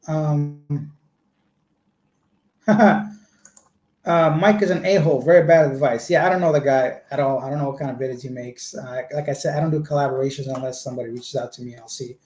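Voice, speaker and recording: Mellow-voiced man in an echoey room. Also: on the high side, steady tone, normal speed. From Canada. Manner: chatty, rambling explanations. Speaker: vlogger. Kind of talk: livestream, answering viewer comments. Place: indoor home room.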